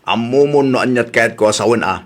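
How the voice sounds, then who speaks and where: A man's voice: medium pitch, a little fast, lightly acted. A man acting a nosy, teasing husband with a questioning, needling manner, in an audio comedy drama.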